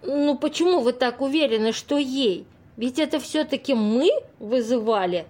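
A woman's voice: sits high, moderate pace, a little mellow. An actress in an amateur audio play playing a naive, curious young woman, questioning and a little hesitant.

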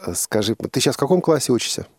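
A man's voice: mid-range voice, big pitch swings, quick pace, no echo, clean studio sound. A man hosting a radio show in a conversational way, addressing people informally and chattily. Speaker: radio host.

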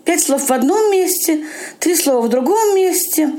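Woman, fairly high voice, amateur performer giving an informal first-person account for radio. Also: animated, moderate pace, dark tone. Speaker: amateur audio-play actress. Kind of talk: reflective spoken account for a radio feature.

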